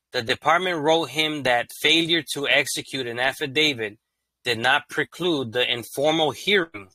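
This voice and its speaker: Man, channel host, monotone reading of court cases, casual slangy asides, dry close sound. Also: mid-range voice, no room sound, rough recording. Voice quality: monotone, by his own account. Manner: reads legal text evenly, then breaks into loose slangy asides. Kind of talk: casual read-aloud commentary.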